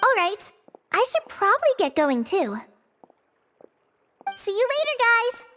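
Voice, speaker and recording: A woman voicing a modest, friendly young female forest ranger with soft nervous laughs in a game dub. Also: very high voice, sing-song, measured pace, a little hiss or hum, exaggerated voice. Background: game music.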